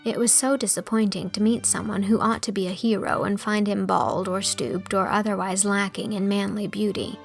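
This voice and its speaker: A woman's voice: medium pitch, steady tone, normal speed, vocal fry, hardly any pauses. A woman narrates a storybook in a mid-range voice, with music under her reading. The delivery is scripted narration, carried in full literary sentences. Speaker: audiobook narrator.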